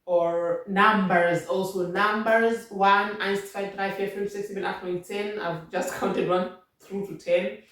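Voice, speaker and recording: A woman's voice: mid-range voice, steady tone, a little slow, hardly any pauses, fairly bright tone. Kenyan lady vlogging tips at home, repeats herself a lot, super echoey room, sounds far from the mic. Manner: repetitive, restarts and rephrases, patient explaining. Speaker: vlogger giving language-learning tips. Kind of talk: casual advice talk.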